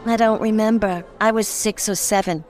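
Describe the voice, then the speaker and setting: An actress playing a sassy, dangerous nightclub hostess in a noir radio drama, flirtatious and self-assured. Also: female voice, mid-range voice, steady tone, normal speed, soft background music, some chatter behind, natural voice. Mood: teasing, dismissive.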